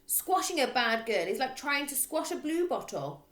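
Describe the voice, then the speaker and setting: A woman voicing a bullying middle-aged headmistress in a storybook, who barks and shouts with open contempt. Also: sits high, sing-song, normal speed, echoey room, pitched above the performer's own voice. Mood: scornful, loud.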